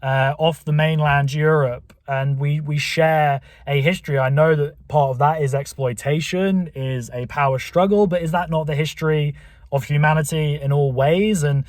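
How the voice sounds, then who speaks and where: A man's voice: a little high, fairly even intonation, moderate pace, no room sound, studio quality. A man from England with a southern English accent from Buckinghamshire, rambling to the camera from his car, with many fillers and restarts. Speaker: channel host. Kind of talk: rambling casual monologue to camera. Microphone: camera mic.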